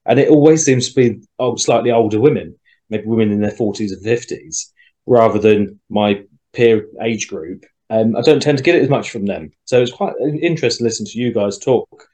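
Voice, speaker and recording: A young man with a fairly low voice, chatting in a relaxed group discussion. He thinks out loud and drops in little quoted asides as he goes. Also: normal speed, low-quality recording. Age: young adult. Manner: reflective, talks around his point with quoted asides. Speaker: podcast co-host.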